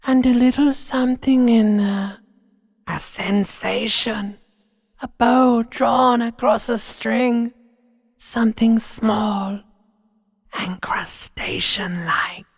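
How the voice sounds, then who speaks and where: A man voicing a sinister, sneering primal brain in a video game, with a raspy, hissing half-whisper and drawn-out theatrical delivery. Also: very high-pitched, steady tone, no room sound, studio quality, in a large hall, full character voice. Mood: morbid, taunting. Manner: slow, theatrical, drawn-out, swelling from whispers to cries. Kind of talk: video game voice acting, menacing monologue.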